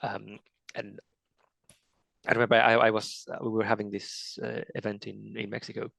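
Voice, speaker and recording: A low, gravelly male voice with a flat, even delivery, recorded dry and close. He is an author talking casually in an interview, stumbling over restarts as he tells stories about his readers. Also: moderate pace, no echo.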